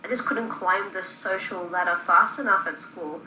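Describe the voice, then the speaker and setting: A woman's voice: mid-range voice, moderate pace, reverberant, slight background noise, rough recording. A woman in her thirties talking casually and hesitantly, restarting her sentences and humming agreement. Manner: hesitant, restarts often, with soft agreeing hums. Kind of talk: casual conversation.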